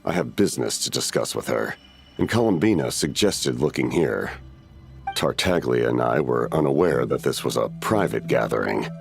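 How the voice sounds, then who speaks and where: An actor playing a stern, courteous warrior commander in a video game, with a gravelly voice and formal, measured speech. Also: male voice, rumbling low, animated, soft background music, heavily acted. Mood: formal, apologetic.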